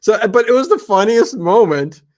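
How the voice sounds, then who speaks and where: An American man from Cleveland chatting casually as a livestream guest, excitable, often repeating himself and interjecting. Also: high voice, normal speed, dry recording, clean studio sound. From Cleveland, United States. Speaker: YouTube channel host, interview guest.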